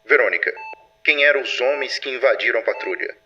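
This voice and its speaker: A man playing a stern, controlled patrol commander, measured and authoritative, in an audio drama. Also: medium pitch, sharp tone, highs cut off. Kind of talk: audio drama acting, dossier narration.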